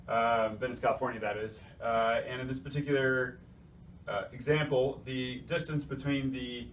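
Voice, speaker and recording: A man's voice: mid-range voice, a bit bright. A man giving an informal technical explanation as a presenter, hesitating often with uh and um between phrases. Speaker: technical presenter from a design services company. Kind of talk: informal explainer walking through a worked calculation. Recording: distant mic, faint room noise.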